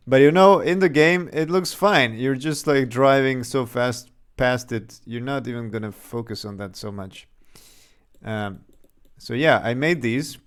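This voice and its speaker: A man's voice: mid-range voice, measured pace. A young man from Serbia with a slight Serbian accent, casually thinking aloud and chuckling as he talks through his game development on a livestream.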